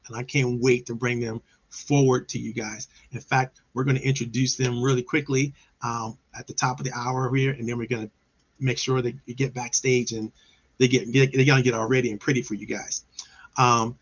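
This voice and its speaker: A man's voice: medium pitch, level tone, moderate pace, a bit bright, breathy edge, lo-fi sound. A man from Maryland hosting a casual online business show over a video call, talking in an upbeat, enthusiastic way with frequent restarts. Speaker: host.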